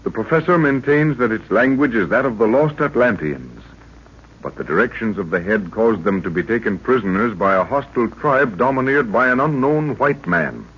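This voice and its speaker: Male announcer, formal scripted narration, animated husky delivery, vintage radio serial, lo-fi. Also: mid-range voice. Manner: dramatic, formal recap delivery. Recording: muffled old radio recording.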